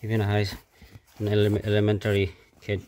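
A middle-aged man with a Filipino accent, off camera in a carpeted room, speaking in a halting, casual way with many fillers and restarts as he shows an item to a handheld phone camera. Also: fairly low pitch, monotone, darkish tone, slight background noise. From Philippines. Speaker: product reviewer. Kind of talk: casual unboxing commentary.

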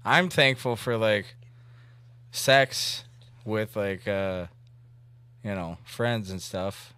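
A man in his thirties hosting a comedy podcast, chatting casually in a rambling, halting way with crude humor. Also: on the low side, pushed and bright, clean tone, no room sound. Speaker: comedy podcast host making a prank call. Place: indoor room at a desk.

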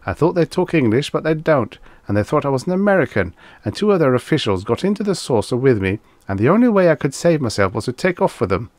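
Male reader as genial Venusian gent, breezy, matter-of-fact, lively intonation, storybook sci-fi. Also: medium pitch, fast, hardly any pauses, no echo, voice pushed up, natural voice. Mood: chatty, matter-of-fact. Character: affable male visitor from Venus, casual and chatty.

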